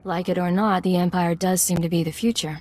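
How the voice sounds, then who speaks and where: Game role: cool female mercenary , woman actor. Lowish pitch, composed, guarded, self-assured. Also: steady tone, brisk, a little mellow, natural voice. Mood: businesslike. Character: cool, confident female secret agent posing as mercenary. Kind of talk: video game voice acting.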